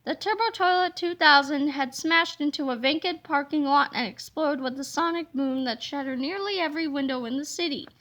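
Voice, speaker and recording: Read-aloud narrator: woman with a very high, bright voice, telling a children's story expressively. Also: a little fast, few pauses.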